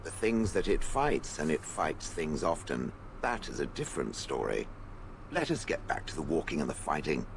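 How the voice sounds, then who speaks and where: An actor playing a sardonic stone golem from a fantasy video game, haughty and contemptuous of people. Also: male voice, extremely low pitch, big pitch swings, vocal fry, a little hiss or hum, exaggerated voice. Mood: imperious. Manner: haughty, sardonic, deliberate phrasing.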